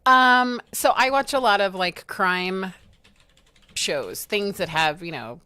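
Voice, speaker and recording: A woman's voice: mid-range voice, expressive, slow pace, sharp tone, smooth. A woman chatting on a livestream, telling stories in a bubbly way with lots of fillers. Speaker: livestream co-host. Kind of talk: casual livestream chat.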